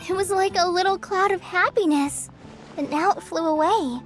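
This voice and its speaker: A woman's voice: extremely high pitch, normal speed, faint music behind. A woman playing a shy, grateful young girl apprentice in a video game, sweet and sincere. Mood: warm, sincere. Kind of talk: scripted game dialogue acting.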